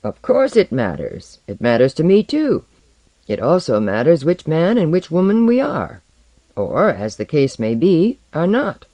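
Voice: mid-range voice, wildly swooping pitch, unhurried, warm tone, dry recording, pitched above the performer's own voice. A reader voicing a mild but sour, short-tempered mentor with a dry, matter-of-fact manner. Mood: morose, irritable.

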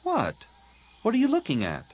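A man's voice: very high voice, huge pitch swings, moderate pace, a little mellow, slight background noise, pitched above the performer's own voice, heavily acted. A man voicing a spirited, stubborn fourteen-year-old girl in an audiobook. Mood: teasing, indignant. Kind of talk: audiobook character dialogue.

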